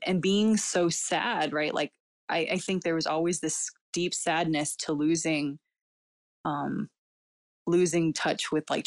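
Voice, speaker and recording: A woman's voice: low-pitched, dry recording. A woman whose first language was Cantonese, speaking in a casual interview and reflecting at length with many fillers and frequent laughs. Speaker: guest. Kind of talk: casual personal interview talk.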